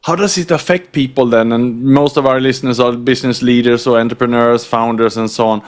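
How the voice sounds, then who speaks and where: A man hosting a podcast interview, speaking in a polite, welcoming and measured way. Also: mid-range voice, fairly even intonation. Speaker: podcast host.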